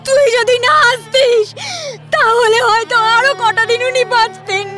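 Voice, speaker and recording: Woman acting a bitter, shrill grieving old mother. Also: steady tone, measured pace, loud music behind the voice. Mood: bitter grief. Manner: accusing, harsh. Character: bitter, grieving elderly mother, newly widowed, blaming her daughter. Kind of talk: audio drama acting.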